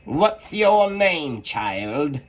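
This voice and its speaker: A haughty storybook queen with a fierce temper, as a man performs her. The voice is pitched high and commands imperiously. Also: very animated, drawn-out words, heavily acted. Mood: stern, commanding.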